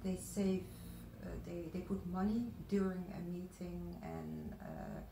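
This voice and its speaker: A woman guest from the Netherlands answering interview questions in a measured way, with frequent hesitations. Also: on the low side, wildly swooping pitch, dark tone, slight background noise. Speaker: guest, foundation founder. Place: room at a wooden table. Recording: roomy room sound.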